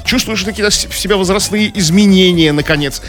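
A man's voice: sits high, fast, fairly bright tone. A man co-hosting a radio show with casual comic banter, playful and offhand in delivery. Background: music.

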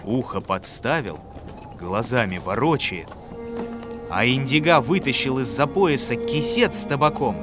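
A man narrating a folk fairy tale with a measured, expressive reading. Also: mid-range voice. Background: music.

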